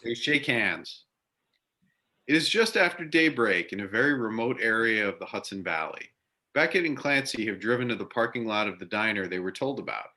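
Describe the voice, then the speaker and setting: A man's voice: fairly low pitch, lively intonation, normal speed, pushed and bright. A man narrating scripted stage directions for a readers-theater drama.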